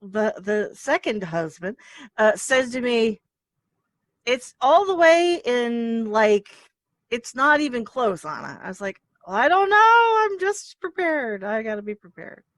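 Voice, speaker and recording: A woman's voice: a little high, very animated, a little slow, fairly bright tone, dry recording. A woman hosting an interview show, bubbly and effusive, laughing often. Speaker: podcast host. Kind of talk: casual interview chat.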